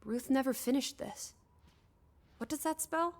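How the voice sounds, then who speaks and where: An actress playing a spirited, inquisitive, imaginative young female companion in a video game, expressive, playful and probing. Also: sits high, measured pace. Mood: curious. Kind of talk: scripted game dialogue.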